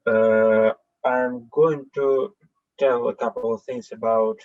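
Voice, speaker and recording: A man's voice: mid-range voice, fairly even intonation, takes it slowly, faint music behind. Guy on a video call, lots of uh and um, muffled lo-fi audio. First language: Russian. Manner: hesitant, many filler sounds. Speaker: seminar participant. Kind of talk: online meeting remarks. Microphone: computer mic on a video call.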